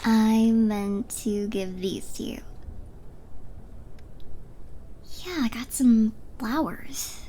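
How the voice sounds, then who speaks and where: A woman's voice: on the high side, takes it slowly, warm tone, a little hiss or hum. A young woman playing a drunk, giddy, smitten rival in an ASMR roleplay, rambling and stammering as she trails off. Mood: earnest, flustered.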